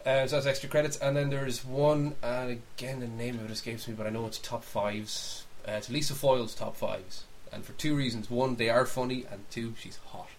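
A man's voice: mid-range voice, fairly even intonation, moderate pace, hardly any pauses, poor audio. A man co-hosting a podcast in casual chat, informal and opinionated, rambling as he gives his views and recommendations. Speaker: podcast host. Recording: faint background hiss.

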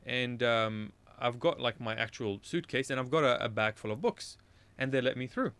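A man's voice: mid-range voice, normal speed, a bit bright, clean tone, dry recording. A man from Iraq, a radio show guest, telling a casual anecdote in a rambling way, often correcting himself and laughing at his own story.

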